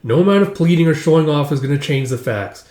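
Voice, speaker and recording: A man's voice: fairly high pitch, lively intonation, quick pace, a little mellow, natural voice. A man voicing an exasperated older sister who scolds a small boy impatiently. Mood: dismissive. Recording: echoey room.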